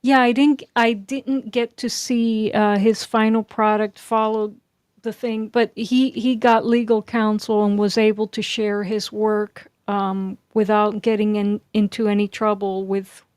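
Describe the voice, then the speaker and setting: A woman's voice: mid-range voice, flat delivery, measured pace, a little mellow, smooth, dry recording, studio quality. A woman co-hosting a casual chat, a little hesitant and rephrasing as she goes.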